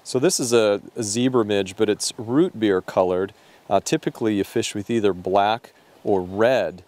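A man's voice: lowish voice, normal speed, darkish tone. A middle-aged man chatting in a friendly way on a drift boat outdoors, explaining the flies he uses. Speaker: angler. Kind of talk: casual chat.

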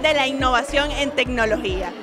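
A woman hosts a show with an upbeat presenter delivery and a high voice. She introduces guests and asks questions. Faint music plays behind her. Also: brisk. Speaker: show host and interviewer. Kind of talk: hosting interviews.

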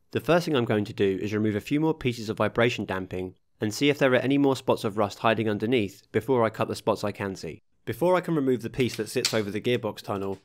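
A man reading a scripted voice-over for his own car restoration video, calmly explaining each workshop step. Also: lowish voice. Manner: steady, matter-of-fact explaining of workshop steps. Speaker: host of a car restoration channel.

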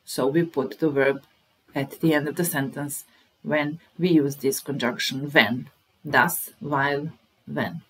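A woman's voice: rumbling low, steady tone, slow, fairly bright tone, breathy edge, poor audio. A woman in her thirties teaching a language lesson, patiently repeating phrases word by word at a webcam in a small room. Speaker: German teacher. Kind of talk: slow explanatory language lesson.

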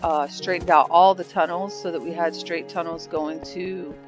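A woman's voice: on the low side, fairly even intonation, normal speed. A woman giving casual, rambling gameplay commentary while touring her builds, a little congested from sinus trouble. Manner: rambling, thinking aloud. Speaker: game server builder. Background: background music.

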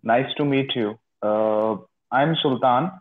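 A man's voice: mid-range voice, takes it slowly. Young Pakistani man on a tinny line. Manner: hesitant, short answers with many uh's. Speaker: English teacher practicing conversation. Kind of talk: casual video-call conversation. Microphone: phone held close.

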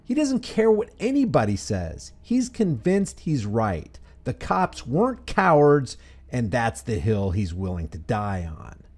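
A man reading scripted, critical commentary as a voice-over, deliberately stressing key phrases. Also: medium pitch, wildly swooping pitch, a little mellow. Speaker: commentary channel host.

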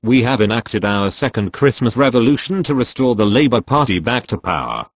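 A man's synthetic voice playing a triumphant revolutionary leader, reading a solemn, measured political proclamation. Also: medium pitch, lively intonation, no echo. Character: triumphant male revolutionary leader announcing a coup.

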